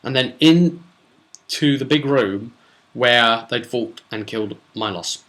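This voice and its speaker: A man speaking as a game master, casually retelling a role-play session with emphatic, drawn-out words for suspense. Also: mid-range voice, fairly bright tone. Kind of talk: casual spoken storytelling recap.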